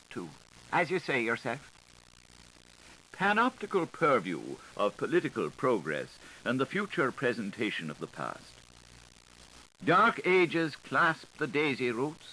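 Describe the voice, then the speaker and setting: A man reading literary prose aloud as an audiobook narrator, in a playful, declamatory, theatrical way with deliberate phrasing, on a 1980s recording. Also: medium pitch, bright, forceful tone, a little hiss or hum, low-quality recording.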